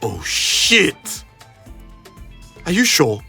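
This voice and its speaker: A man's voice: on the high side, huge pitch swings, extremely slow, gravelly, sharp tone, slightly rough, soft background music, heavily acted. An actor with a Nigerian accent playing a hurt, defensive young man in an audio drama. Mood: shocked, subdued. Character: hurt, defensive young man troubled over his girlfriend.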